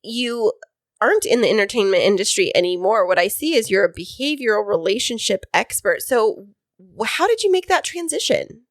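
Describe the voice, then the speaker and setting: Woman co-host, animated and dry-sounding; bubbly, chatty interviewer. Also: mid-range voice, brisk, no echo. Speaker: podcast co-host. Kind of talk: casual interview chat.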